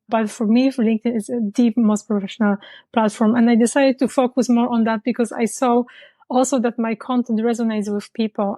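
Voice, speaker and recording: A woman from Poland, a business founder speaking as an interview guest in a reflective way, pausing to search for words. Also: fairly high pitch, warm tone, no echo. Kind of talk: conversational interview.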